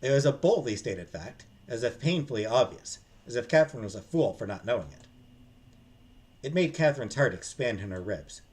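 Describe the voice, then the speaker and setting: A man's voice: medium pitch, animated, normal speed, a little mellow. A man streaming a visual novel, reading the narration aloud in a dramatic storytelling style. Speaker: game streamer.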